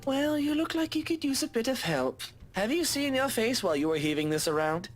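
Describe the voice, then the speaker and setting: An actor playing a haughty, teasing English gentleman in a visual novel, sarcastic and condescending. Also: very high voice, very animated, fairly quick. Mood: mocking, teasing. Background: game music.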